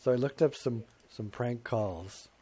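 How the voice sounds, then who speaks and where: A man's voice: mid-range voice, measured pace, warm tone, slightly rough. Middle-aged man chatting, self-mocking and rambling. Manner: self-mocking, rambling, acts out quotes in put-on voices. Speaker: co-host of a talk show. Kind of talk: casual comic chat.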